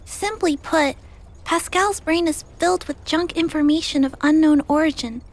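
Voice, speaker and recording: A woman playing a gentle, earnest little girl in a video game, speaking politely and carefully. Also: high voice, moderate pace. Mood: earnest, thoughtful. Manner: polite, soft-hearted, careful.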